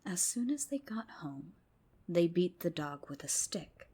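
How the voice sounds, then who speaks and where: A woman narrating a folk tale as ASMR, with a soft, whispery, hushed bedtime delivery. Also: fairly low pitch, big pitch swings, measured pace, breathy edge. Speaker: storyteller. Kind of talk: ASMR storytelling, scripted narration.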